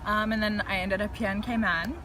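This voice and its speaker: A woman's voice: medium pitch, fairly even intonation, brisk. Chatty woman from South Africa, slight hiss. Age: 30s. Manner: frank, chatty, laughs lightly while recounting. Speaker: guest. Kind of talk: casual interview storytelling.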